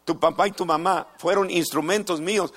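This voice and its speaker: A middle-aged man from the United States preaching a sermon in a slow, deliberate way, repeating key phrases and pausing between them. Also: high-pitched, steady tone, a bit bright.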